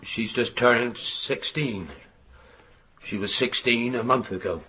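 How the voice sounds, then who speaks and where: A man's voice: mid-range voice, slow, bright, forceful tone, echoey room, slight background noise. An actor playing a middle-aged signalman and father, stern, brusque and harried, in a naturalistic radio drama. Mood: defensive, tense. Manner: brusque, exasperated.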